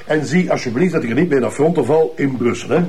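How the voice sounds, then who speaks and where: An actor with a Flemish accent playing a teasing, self-satisfied middle-aged police chief, jovial, mocking and bossy. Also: male voice, medium pitch, quick pace, mellow, a little hiss or hum, close to an everyday voice. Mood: smug, bossy. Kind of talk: TV drama acting.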